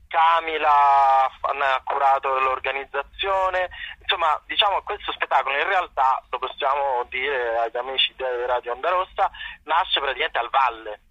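A man's voice: medium pitch, moderate pace, pushed and bright, no room sound. A man speaking casually as a theatre director and performer interviewed on radio, sometimes repeating words as he searches for them. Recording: dull, dry radio or phone-line sound.

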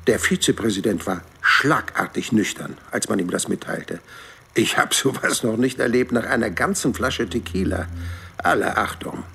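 A man's voice: fairly low pitch, fairly bright tone, exaggerated voice. An actor playing a hundred-year-old man who recalls his adventures in a wry, colloquial audio drama narration. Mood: amused. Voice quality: gravelly, creaky. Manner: wry, unhurried, colloquial storytelling.

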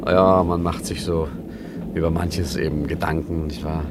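An actor playing an amused, smooth-talking roguish art forger in a 1950s radio play, with a teasing, ironic manner. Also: male voice, fairly even intonation, normal speed. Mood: curious, flirtatious. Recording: noisy old radio recording, train rumble.

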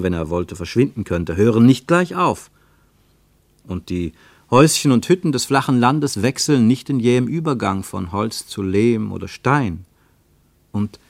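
A man narrating a literary German radio play as a reflective storyteller, with measured, lyrical phrasing. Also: fairly low pitch, dry recording, heavily acted. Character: reflective male narrator of a literary travel account.

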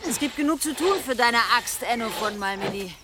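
A woman's voice: fairly high pitch, lively intonation, fairly quick, lightly acted. Woman playing village worker lady, teasing n practical, outdoorsy background noise, audio drama. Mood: brisk, teasing. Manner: practical, bantering. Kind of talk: German audio drama acting.

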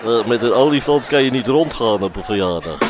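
A man's voice: medium pitch, expressive, brisk, close to an everyday voice. A man playing a bumbling, earnest radio comedy sidekick, speaking in a hesitant, plodding way. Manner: hesitant, plodding, easily interrupted. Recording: loud music and audience behind, outdoor-like din.

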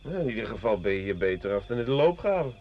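An actor playing a rough, talkative soldier turned drifter, world-weary and colloquial, in a Dutch radio play. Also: male voice, lowish voice, very animated, fast talker. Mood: resigned. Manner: colloquial, hesitant, chatty storytelling. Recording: dull, noisy old radio recording.

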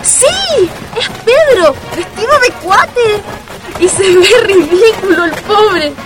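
High-voiced cheeky Chilean girl. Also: animated, slow pace, full character voice, low-quality recording. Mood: amused, teasing. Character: reassuring, cheeky young Chilean girl, loyal friend. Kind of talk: radio drama acting. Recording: music under the voice.

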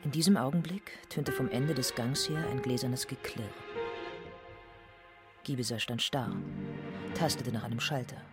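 A man's voice: medium pitch, normal speed, long pauses, over loud music. A man reading literary narration in a radio play.

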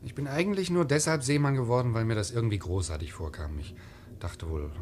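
An actor playing a modest, disillusioned young sailor, hesitant and resigned, in a 1950s radio drama. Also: male voice, medium pitch, fast, a little mellow, a little hiss or hum, close to an everyday voice. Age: young adult.

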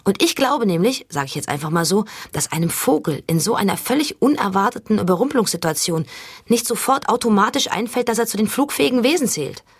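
A woman's voice: mid-range voice, lively intonation, fast, husky, dry recording. A woman playing an earnest, naive, stubborn young interviewee in a satirical radio sketch, talking colloquially. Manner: earnest, colloquial, literal-minded.

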